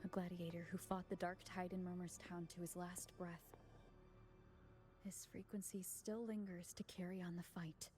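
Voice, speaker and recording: An actress playing a composed, earnest, polite heroine in a video game, explaining things calmly and thoughtfully. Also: female voice, lowish voice, moderate pace, over music, close to an everyday voice. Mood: reverent. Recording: noisy ambience behind the voice.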